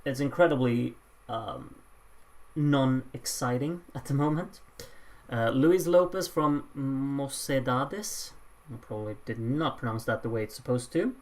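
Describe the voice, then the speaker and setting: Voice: mid-range voice, unhurried. Dry, offhand vote announcer. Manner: dry, offhand asides to chat between announcements. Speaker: host announcing song contest voting results. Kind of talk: casual live commentary on a points vote.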